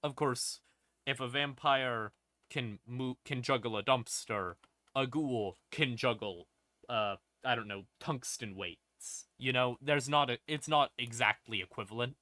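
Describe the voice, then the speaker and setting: A man hosting a livestream, explaining lore casually and wryly, in halting phrases broken by pauses and emphatic stresses. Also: mid-range voice, bright, forceful tone, no room sound, studio quality. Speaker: livestream host.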